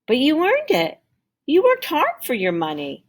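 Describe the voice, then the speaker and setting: A woman voicing a kind, humble homeless man in a children's storybook, speaking gently and plainly. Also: high voice, wildly swooping pitch, unhurried, pitched above the performer's own voice, heavily acted. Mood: surprised, earnest.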